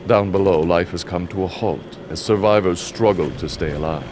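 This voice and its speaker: An actor playing a sinister, gloating showman villain in a video game, with a grandiose, drawn-out, theatrical delivery. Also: sits low, natural voice. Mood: grim, grandiose. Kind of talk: dramatic villain monologue and narration. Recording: heavily noisy old game audio.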